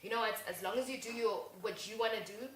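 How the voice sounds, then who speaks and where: A young South African woman co-hosting a casual talk show, lively and chatty. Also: fairly high pitch, quick pace. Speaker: co-host. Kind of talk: casual chat. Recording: echoey room with faint noise.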